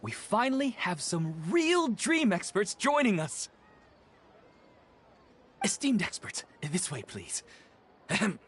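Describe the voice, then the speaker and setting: A woman's voice: medium pitch, big pitch swings, slow, long pauses, breathy edge, exaggerated voice. A woman playing a polite, orderly event organiser in a video game, announcing formally. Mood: polite, composed. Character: polite, orderly organiser addressing a bazaar crowd.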